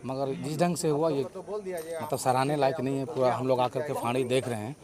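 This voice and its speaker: A man's voice: medium pitch, steady tone, moderate pace. A man giving an unscripted statement as a local resident, colloquial and rambling. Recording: crowd noise around the voice.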